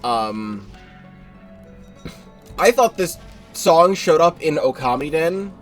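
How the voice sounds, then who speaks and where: A man's voice: a little high, very animated, slow pace, soft background music. A congested young man giving rambling, casual commentary while streaming a game live, often correcting himself and swearing offhand.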